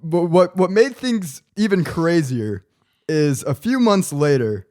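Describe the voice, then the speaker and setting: A man's voice: on the high side, expressive, a little mellow. Nineteen-year-old man telling jokey stories. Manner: joking, storytelling with quick retorts. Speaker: podcast co-host. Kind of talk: casual chat, telling stories.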